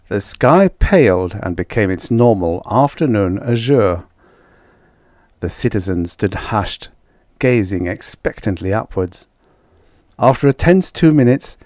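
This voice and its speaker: A man with a fairly low voice narrates a science fiction audiobook. His delivery is measured and dramatic, leaning on key words for emphasis. The sound is dry and close, with little room in it. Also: expressive, a little mellow, dry recording. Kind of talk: scripted narration of vintage science fiction stories.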